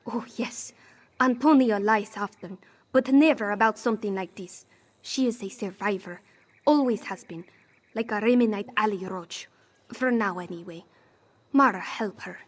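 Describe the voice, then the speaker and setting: A woman's voice: medium pitch, moderate pace, lightly acted. A woman playing a wry, proud cat-folk smuggler with a theatrical, sardonic manner in scripted fantasy game dialogue. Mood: wry, resigned. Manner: theatrical, sardonic, speaks of herself in third person.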